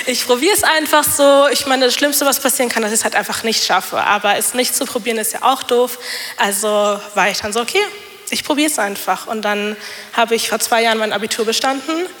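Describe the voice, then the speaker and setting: A woman's voice: a little high, moderate pace, a bit bright, in a large hall, a little hiss or hum. A young woman with a slight cold giving a personal testimony in church on a handheld microphone, chatty and full of fillers. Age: young adult. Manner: chatty, many fillers, self-deprecating laughs. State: has a cold. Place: church hall.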